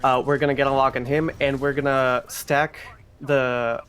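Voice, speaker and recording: A man's voice: mid-range voice, little pitch movement, normal speed, fairly bright tone. A man giving explanatory live commentary on a speedrun. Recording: faint background noise, live event stream.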